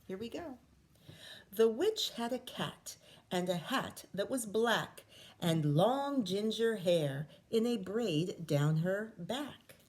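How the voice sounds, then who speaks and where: A woman teacher reading a children's story aloud expressively, with explanatory asides and questions to her listeners. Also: mid-range voice.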